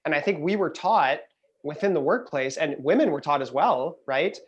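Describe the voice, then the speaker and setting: A man speaking as a panelist over a video call, earnest and measured, often checking in with "right?". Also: a little high, lively intonation, dull audio. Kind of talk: panel discussion.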